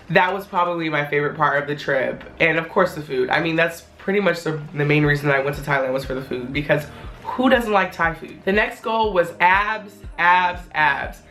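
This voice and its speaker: Hoarse, upbeat young woman vlogging. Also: fairly low pitch, fairly bright tone, a little hiss or hum. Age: 20s. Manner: chatty, candid, swears casually. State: hoarse, voice not fully back. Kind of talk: casual talking-head vlog.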